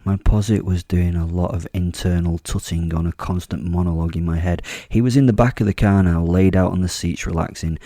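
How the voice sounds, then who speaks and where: Male horror narrator, very deep and gravelly, mellow tone, menacing and wry. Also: steady tone, a little fast, hardly any pauses, no room sound, studio quality. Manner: brooding, wry and menacing, with repeated stumbles. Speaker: host and narrator. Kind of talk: first-person horror audio drama narration.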